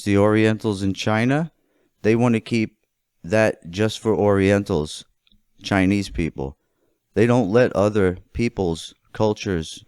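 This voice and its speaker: A man from the United States delivers an opinionated political monologue, speaking deliberately and emphatically, phrase by phrase. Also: low-pitched, a little mellow, smooth, no echo, clean studio sound. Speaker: political commentator.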